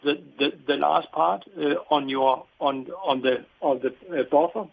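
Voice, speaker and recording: A man answering questions as a company executive on an earnings call, halting and often repeating his words. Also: a little high, gravelly. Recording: tinny phone-like call line.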